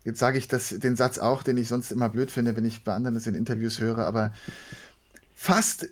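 A man's voice: mid-range voice, brisk. A man, talking casually as a voice actor being interviewed. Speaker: guest, voice actor.

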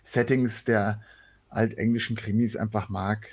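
A man's voice: fairly low pitch, steady tone, normal speed, husky. A man, talking casually as a voice actor being interviewed. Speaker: guest, voice actor.

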